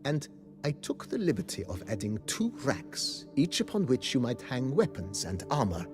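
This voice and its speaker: An actor playing a formal, deferential majordomo in a video game, speaking with pompous, polite precision. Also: male voice, medium pitch, wildly swooping pitch, normal speed. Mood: courteous, proud. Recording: game audio with music. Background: game music.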